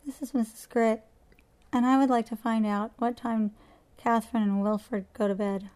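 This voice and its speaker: A woman's voice: on the high side, flat delivery, normal speed, darkish tone, close to an everyday voice. A woman voicing a patient, tired mother speaking politely. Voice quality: polite and proper. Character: patient, weary mother, Mrs. Gray.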